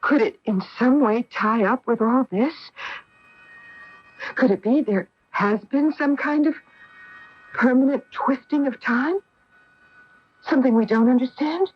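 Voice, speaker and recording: An actress playing an anxious, alert female astronaut in a 1980s radio drama, on a muffled old broadcast tape. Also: high-pitched.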